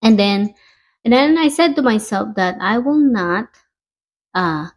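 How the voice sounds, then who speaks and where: A middle-aged woman from the Philippines with a Filipino accent, telling personal stories casually in halting, conversational English, often checking in with the listener. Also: on the high side, animated. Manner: halting, conversational, frequently seeking agreement with "you know". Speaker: vlogger. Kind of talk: casual personal storytelling to camera.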